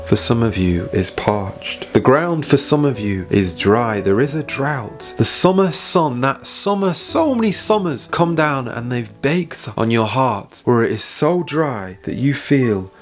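A British man in his thirties preaching an evangelistic sermon in an earnest, pleading manner, with emphatic repetition and dramatic pauses. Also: mid-range voice, animated. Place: small home room, indoors. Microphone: boom-arm microphone off to the side. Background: faint background music.

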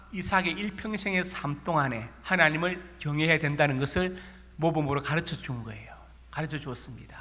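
Bright-voiced pastor with a regional accent. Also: male voice, a little high. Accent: Korean regional dialect. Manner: folksy storytelling with rhetorical questions. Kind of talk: sermon. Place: church sanctuary. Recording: long church reverb.

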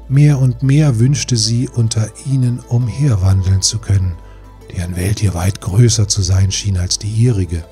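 A man's voice: on the low side, mellow. A man reading a scripted fairy tale as an audiobook narrator. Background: music.

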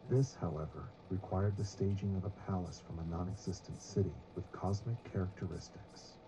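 A man reading scripted documentary narration in a formal, measured manner. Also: sits low, fairly even intonation, background noise.

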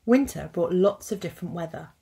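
A woman in her thirties narrating a picture book as a teacher, with deliberate phrasing for young children. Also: on the low side, animated, vocal fry, mellow, breathy edge. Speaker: teacher reading a story. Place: indoors at a computer.

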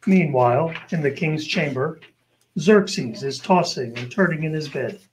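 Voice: mid-range voice, measured pace, slight background noise, close to an everyday voice, low-quality recording. An amateur performer playing the narrator of an old-time radio show, announcing from a script.

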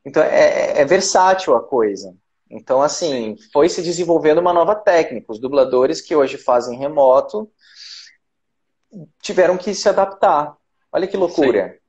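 A voice actor in his thirties speaks as a guest on a video call, relaxed and conversational, often repeating his points. His voice sits slightly above the usual male range. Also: fairly high pitch, moderate pace. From Brazil, Campinas. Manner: relaxed, explains with repetition and fillers like "cara". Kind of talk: casual interview chat. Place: small room at home. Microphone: video call with earphone mic.

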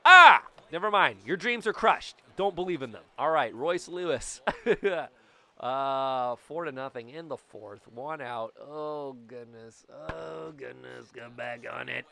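Excitable man yelling over gameplay. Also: mid-range voice, a little slow, vocal fry. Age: 30s. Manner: excitable, jokey, bursts into loud yells. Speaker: gaming YouTuber. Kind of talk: gameplay commentary. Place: small room at home. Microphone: studio mic on boom, webcam framing.

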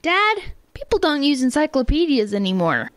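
A woman's voice: high voice, very animated, moderate pace, fairly bright tone, no echo. A woman acting as a blunt, confident, enterprising daughter in a family radio comedy. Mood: sassy.

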